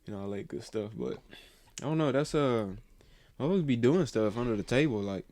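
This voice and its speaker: A man's voice: medium pitch, animated, brisk, a little mellow. A man in his twenties hosting a casual podcast chat, rambling and swearing freely with lots of fillers and restarts. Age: 20s. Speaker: podcast host.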